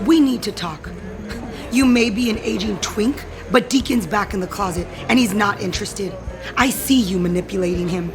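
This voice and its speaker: Scornful wife played by a woman, audio soap villainess, cutting and commanding, clear music bed underneath. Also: medium pitch, normal speed, lightly acted. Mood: confrontational. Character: bitter, threatening wife confronting her husband's male lover. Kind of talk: audio soap opera acting.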